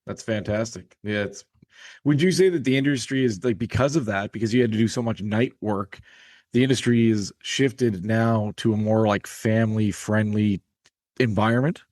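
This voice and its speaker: A man's voice: lowish voice, moderate pace, darkish tone. A man hosting a podcast and asking loose, filler-heavy questions. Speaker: podcast host. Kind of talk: interview questions.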